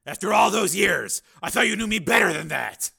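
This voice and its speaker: A hard-edged man who has become a machine, raising his voice sharply and pressing every point, as a male reader puts him on. The tone is tense and high, with a rough gravelly grain. Rendered as a dramatized audiobook. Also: lively intonation, moderate pace, full character voice. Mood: stern. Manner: forceful, shouting, insistent.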